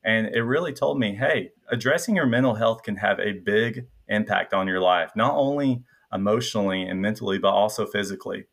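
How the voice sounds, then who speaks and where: A man in his twenties with a light Tennessee Southern twang, a guest telling his story in a relaxed, reflective way with frequent fillers. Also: fairly low pitch, brisk, gravelly, few pauses. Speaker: guest, incoming psychiatry resident. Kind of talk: casual interview chat.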